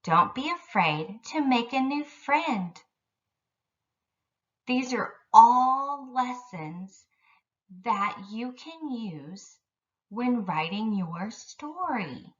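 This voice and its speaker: A woman's voice: a little high, animated, extremely slow, fairly bright tone, recorded from a distance, highs cut off. A woman elementary school teacher giving an instructional lesson to young children in careful, simple phrasing.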